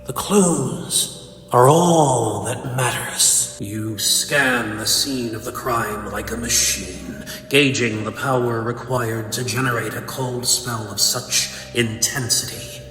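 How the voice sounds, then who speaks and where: A man's voice: mid-range voice, slow pace, a bit bright, slight background noise. A man playing a cold, bitter, cerebral supervillain in a comic dub, speaking in a theatrical, condescending, verbose manner. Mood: cold, musing. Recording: echoey hall reverb, faint music behind.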